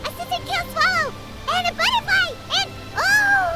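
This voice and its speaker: A woman voicing a tiny, excitable childlike fairy companion in a video game, chirpy in manner. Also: very high voice, normal speed, loud music behind the voice, poor audio. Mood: cheerful, eager. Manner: chirpy, refers to herself in third person.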